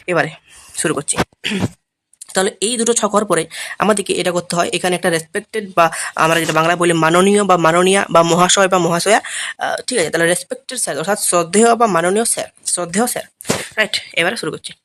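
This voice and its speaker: A man's voice: high-pitched, fast talker, fairly bright tone, slight background noise. A man with a Bengali accent giving an informal tutorial as an English teacher, explaining step by step and checking that students follow. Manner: instructional, often checking understanding with short tag questions. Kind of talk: informal tutorial, reading and translating line by line.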